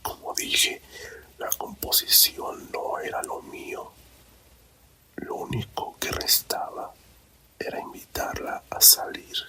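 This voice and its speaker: A man softly whispering a romantic story in a slow, deliberate ASMR narration, close to the microphone. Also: low-quality recording. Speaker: storyteller reading own written story.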